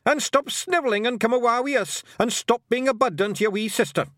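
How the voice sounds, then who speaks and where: A man voicing a tiny, cheerful, cocky Scottish fairy clan leader in an audiobook, with loud, emphatic dialect speech. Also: high-pitched, sing-song, normal speed, dry recording, clean studio sound, pitched above the performer's own voice. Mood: bossy, urgent. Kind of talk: audiobook character voice.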